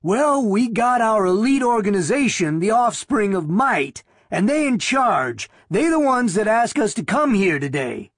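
A thin, earnest male protester with a rasping, strained voice pitched well above the narrator's own, his words pushed out with some force. A man puts him on as he reads the story aloud. The delivery stays breathy and husky throughout. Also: sing-song, a little slow, few pauses, no room sound, studio quality, full character voice.

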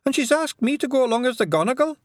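A man's voice: very high voice, lively intonation, fast, no echo, clean studio sound, voice pushed up. A man voicing a tiny nervous male pixie with a Scottish accent, eager and stammering.

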